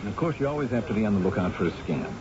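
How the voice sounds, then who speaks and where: Dull vintage radio sound carries an actor as a persuasive newspaperman. He is informal and insistent like a salesman, his pitch moving freely up and down. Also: expressive, very fast, background noise, lightly acted. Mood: analytical, excited. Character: persuasive, fast-talking male newspaper reporter, insistent. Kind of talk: old-time radio drama acting. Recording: dull old radio recording.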